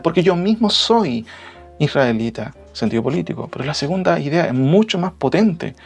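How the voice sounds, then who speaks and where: A man giving expository Bible teaching in a didactic way, repeating key phrases and often adding short tag questions. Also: a little high. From Chile.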